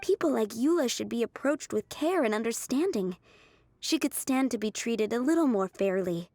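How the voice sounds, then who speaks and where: A woman playing a kind, earnest young restaurant server in a video game, gentle, measured and polite. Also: on the high side, expressive, no echo, studio quality. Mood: earnest, sympathetic.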